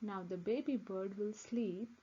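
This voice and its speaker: A woman reading a picture book aloud for young children, with simple, deliberate, expressive phrasing. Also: mid-range voice, husky, lo-fi sound. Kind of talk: scripted storybook read-aloud for young children.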